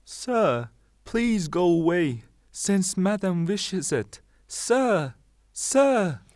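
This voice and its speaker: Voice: medium pitch, sing-song, very slow, a little mellow, dry recording, clean studio sound. A performer playing a timid, fretful old male servant in a theatrical audio drama, pleading and wailing. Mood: timidly pleading.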